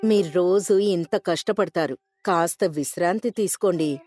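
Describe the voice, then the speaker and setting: A woman playing a devoted farmer's wife in a storybook tale, gentle, concerned and respectful. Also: fairly low pitch, normal speed, faint music behind, close to an everyday voice. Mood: caring.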